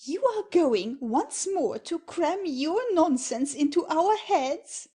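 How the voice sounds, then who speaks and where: Woman playing a high-voiced haughty noble mother-in-law. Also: very animated, warm tone, heavily acted. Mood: affronted. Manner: affronted, grandly deliberate. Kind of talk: classic comedy audio play acting.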